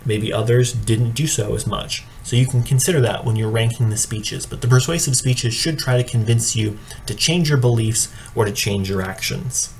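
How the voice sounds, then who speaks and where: A man giving an instructional presentation like a coach, explaining in a measured, matter-of-fact way. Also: medium pitch, low-quality recording. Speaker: speech and debate coach training judges. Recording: clearly audible background noise.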